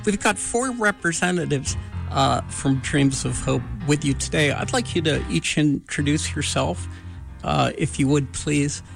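Male host, gravelly, slow and searching delivery, light music bed. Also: a little high. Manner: measured, halting with many "uh" pauses. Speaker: radio show host. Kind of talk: slow, thoughtful interview hosting.

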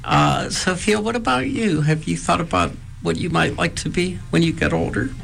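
A man hosting an interview, asking students questions in a halting way with many pauses. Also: on the high side, vocal fry, a bit bright, slight background noise, low-quality recording. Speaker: interviewer host.

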